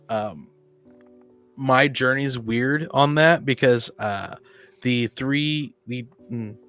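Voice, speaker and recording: A man's voice: medium pitch, a bit bright. A man chatting casually about his gaming experiences, rambling and often interrupting himself. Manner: rambling, halting, self-interrupting. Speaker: panel guest on a tabletop gaming podcast. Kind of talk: casual chat, personal anecdotes.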